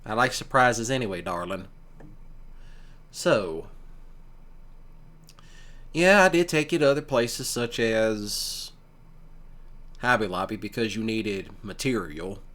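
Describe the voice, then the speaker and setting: A man's voice: on the low side, expressive, a bit bright. A man playing an easygoing, affectionate country boyfriend in an ASMR roleplay, chatting casually and teasingly with his sweetheart. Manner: casual, teasing, folksy, with pauses. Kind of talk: ASMR boyfriend roleplay, one-sided conversation.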